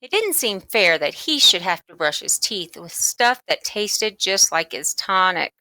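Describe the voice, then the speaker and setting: A woman reading a children's book aloud as scripted narration, in an expressive, emphatic way. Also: medium pitch, a little slow, no echo. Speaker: storybook reader.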